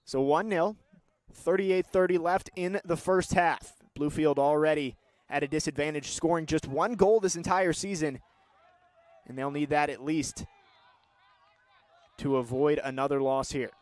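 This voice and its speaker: A young man with a slightly high voice delivers live sports commentary in a close, dry sound. He describes play in a measured way and lifts into excited calls when the action builds. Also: no echo. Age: young adult.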